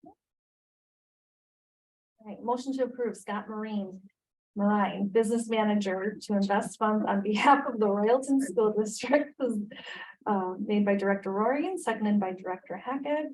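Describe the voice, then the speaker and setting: A woman's voice: mid-range voice, normal speed, a little mellow, rough recording. Woman running a school board meeting, reads out motions, calls votes, formal. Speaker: board chair.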